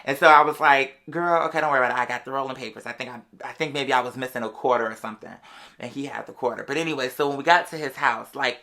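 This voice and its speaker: A podcast host telling a personal story in a casual, animated, sassy way, full of slang and drawn-out emphatic words. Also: mid-range voice. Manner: animated, sassy, slangy, addressing listeners as "girl". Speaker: podcast or live show host. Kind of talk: casual storytelling monologue to an audience.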